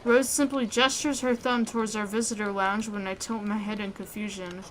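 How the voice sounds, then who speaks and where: A woman hosting a let's play, reading a visual novel aloud in an expressive way. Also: mid-range voice, moderate pace, a little hiss or hum, poor audio.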